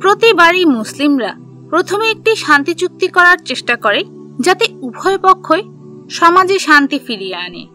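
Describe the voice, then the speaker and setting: A woman narrating a scripted history story for children, telling it in simple, deliberate phrases. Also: high-pitched, bright, forceful tone.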